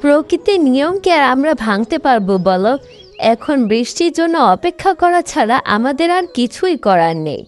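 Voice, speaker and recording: Woman as high-pitched, sharp-tongued village mother. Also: moderate pace, soft background music. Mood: resigned. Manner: scolding, expressive.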